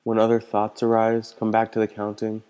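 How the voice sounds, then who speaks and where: Male meditation guide: mellow, smooth delivery, calm and gentle instruction with unhurried pauses. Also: fairly low pitch. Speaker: meditation teacher. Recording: long hall-like reverb.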